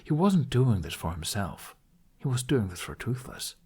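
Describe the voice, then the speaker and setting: A man's voice: medium pitch, big pitch swings, normal speed, darkish tone, slightly rough. A man narrating scripted fiction as an audiobook reader, telling the story in prose.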